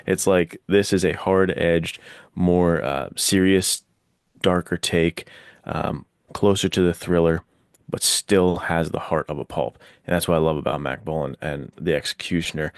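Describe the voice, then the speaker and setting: A man's voice: sits low, dry recording, studio quality. A man co-hosting a casual podcast discussion, explaining things with enthusiasm. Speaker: podcast co-host.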